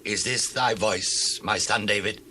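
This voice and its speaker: A man's voice: lowish voice, steady tone, measured pace, sharp tone, a little hiss or hum. An actor playing a remorseful, solemn older king in a dramatized scripture reading, grave and declamatory. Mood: tearful remorse.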